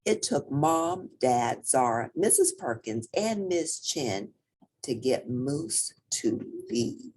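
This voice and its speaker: A woman reading a children's picture book aloud as an expressive storyteller with theatrical emphasis. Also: extremely low pitch, slow, breathy edge.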